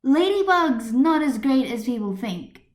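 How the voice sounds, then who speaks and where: A woman plays a teenage schoolgirl who is secretly a heroine. She is loyal and feisty, pitched high and emphatic. The reading has a roomy, homemade echo. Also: very animated, unhurried. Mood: defiant. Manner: indignant, emphatic.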